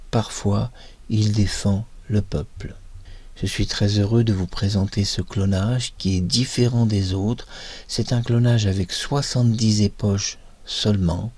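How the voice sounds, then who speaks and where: A man with a fairly low, very mellow and husky voice presents a demo. He explains things in an emphatic, self-assured manner, repeating words to stress them. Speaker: presenter, voice-cloning software creator.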